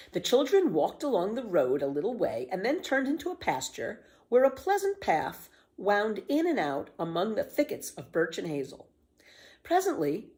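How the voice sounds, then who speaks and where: Casting: female children's storyteller, slightly low voice, very lively intonation, careful expressive reading, some background noise.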